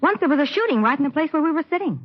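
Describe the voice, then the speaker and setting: An actress playing a young, frank and chatty office secretary with a taste for thrills, in 1940s radio acting style. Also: female voice, high-pitched, lively intonation, very fast, soft background music. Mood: chatty, blithe. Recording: dull lo-fi 1940s radio recording.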